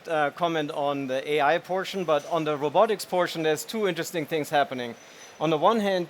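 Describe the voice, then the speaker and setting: Man on a panel, straight talker, slightly high voice, light hiss. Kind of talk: panel discussion.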